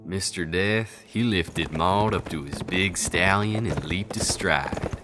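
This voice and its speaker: A man's voice: deep voice, takes it slowly. A man narrating a radio drama as a folksy, colloquial tall-tale storyteller. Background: music.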